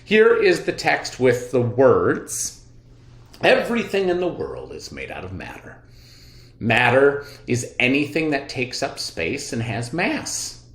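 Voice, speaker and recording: A man's voice: mid-range voice, huge pitch swings, slow pace. A man teaching a read-aloud lesson for young children, explaining things expressively like an elementary teacher. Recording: echoey room sound.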